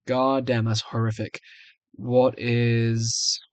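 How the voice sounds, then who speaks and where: A young adult man with a medium-pitched voice talks casually at his computer in a small, dry-sounding room. He rambles and hesitates, muttering his reactions to himself as he goes. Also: level tone, takes it slowly, no room sound. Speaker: video creator. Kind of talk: casual screen-recorded software try-out commentary.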